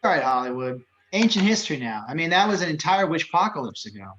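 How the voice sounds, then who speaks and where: A man's voice: a little high, very animated, fast talker, fairly bright tone. A man playing a breezy, teasing, forgiving old friend in a film script read, on a poor remote line. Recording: dull, noisy remote line with clicks.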